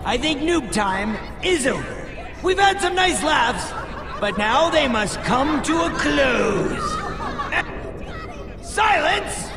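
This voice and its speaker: A man's voice: extremely high pitch, sing-song, takes it slowly, heavily acted. A man playing a boastful, brash champion of explosives in a video game, with a bombastic, theatrical, taunting manner. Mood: cocky taunting. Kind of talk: animated video game acting. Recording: echoing, loud music and crowd behind.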